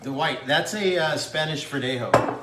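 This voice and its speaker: A man's voice: on the high side, normal speed. Middle-aged man chatting in a noisy, echoey kitchen. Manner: enthusiastic, talks food and wine plainly. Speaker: wine rep guest. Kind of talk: casual chat. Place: home kitchen. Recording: echoey kitchen with background noise.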